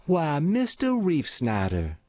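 A man's voice: fairly high pitch, huge pitch swings, slow pace, no room sound, pitched above the performer's own voice, heavily acted. A man voicing a stout, kindly old countrywoman who fusses over a guest, with a twangy rural way of talking. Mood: fussing. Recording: dull muffled dry recording.

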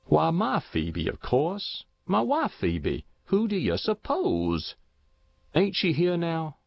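A man's voice: on the high side, wildly swooping pitch, slow pace, vocal fry, no room sound, muffled recording, pitched above the performer's own voice, full character voice. A man voicing a thin, confused, stubborn old farmer with rural American speech, insistent and folksy, in a storybook reading. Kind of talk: audiobook character voice.

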